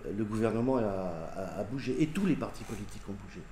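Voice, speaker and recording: A man speaks in a measured, skeptical way during a discussion. His voice carries the echo of an indoor room, with faint noise in the background. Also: on the low side, animated, mellow. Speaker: local participant in a debate. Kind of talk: debate. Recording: echoey room.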